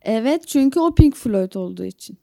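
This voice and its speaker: A woman's voice: on the high side, animated, a little fast, vocal fry, warm tone, no room sound, studio quality. A woman co-hosting a podcast, casually talking through her opinions in conversation. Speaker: podcast co-host. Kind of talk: casual chat, opinionated discussion.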